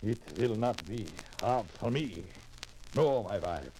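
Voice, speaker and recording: A halting European immigrant. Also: male voice, on the high side, wildly swooping pitch, darkish tone, a little hiss or hum, exaggerated voice, low-quality recording. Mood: hopeful. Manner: halting, stumbling speech. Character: hopeful poor immigrant man, broken English. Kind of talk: 1940s radio acting.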